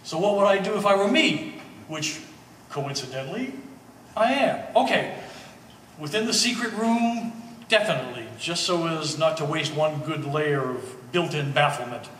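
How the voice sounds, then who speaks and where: A man's voice: a little high, expressive, a bit bright. Man acting a wry treasure hunter, distant and echoey. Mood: musing, wry. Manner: halting, thinking aloud, wry wordplay. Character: rambling, wry, bearded treasure hunter, excitable. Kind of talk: live one-act stage play. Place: art gallery performance space. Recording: echoey hall from afar, faint noise.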